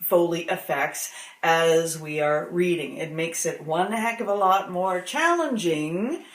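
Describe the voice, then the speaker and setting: A woman actor chatting in an interview, emphatic and expressive, in an echoey room. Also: lowish voice, measured pace. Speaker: radio theatre actor. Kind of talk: animated interview chat.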